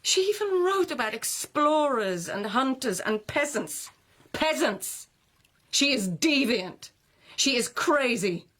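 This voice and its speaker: A woman's voice: sits high, sing-song, fairly bright tone, full character voice. Eloquent, defiant court lady. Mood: impassioned, scornful. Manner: dramatic, rhetorical, deliberate phrasing with pointed irony. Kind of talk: radio drama acting.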